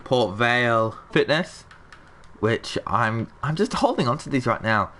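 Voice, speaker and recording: A man's voice: mid-range voice, expressive, a little slow, pushed and bright. A young man with a slightly sick, nasal voice, chatting casually over gameplay as a channel host and talking to viewers. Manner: chatty, talks to viewers and chat.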